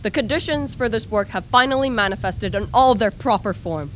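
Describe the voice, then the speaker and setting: A woman's voice: on the high side, expressive, brisk, fairly bright tone, a little hiss or hum. A woman playing an obsessive, ambitious young male science student, narrating earnestly and formally in a radio play. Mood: urgent, exultant.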